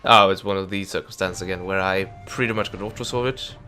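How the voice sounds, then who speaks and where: Young man, stuffed-up and nasal, casual game commentary, muttering and swearing at the game, low voice over faint music. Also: fairly even intonation, fast talker, pushed and bright. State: stuffy nose. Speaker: gameplay commentator. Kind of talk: let's play commentary.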